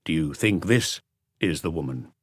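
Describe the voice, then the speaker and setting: A man voicing a weary, measured middle-aged police inspector in an audiobook mystery, speaking tersely. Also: very deep voice, animated, dry recording, clean studio sound, pitched below the performer's own voice. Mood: probing.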